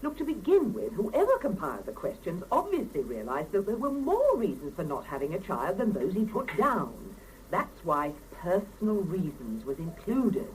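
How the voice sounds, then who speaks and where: A woman's voice: fairly low pitch, huge pitch swings, moderate pace, low-quality recording. An actress playing a pragmatic Soviet working woman reasoning emphatically in a 1970s radio drama. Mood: placating. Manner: emphatic, explanatory. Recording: hissy 1975 mono radio cassette recording.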